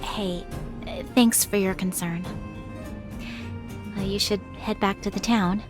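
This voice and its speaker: A woman as a composed young officer, medium-pitched. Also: steady tone, slow, close to an everyday voice. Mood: reserved, polite. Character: composed, formal young female military officer. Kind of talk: video game story dialogue. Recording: clear music under the voice.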